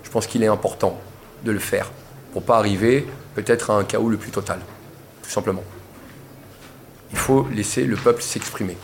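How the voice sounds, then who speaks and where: A man in his thirties from Portugal speaks at a press interview with a low voice, impassioned and insistent. He drives his points home by repeating them, with faint noise behind him. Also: moderate pace, long pauses. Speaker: protester giving a press interview. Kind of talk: press conference statement.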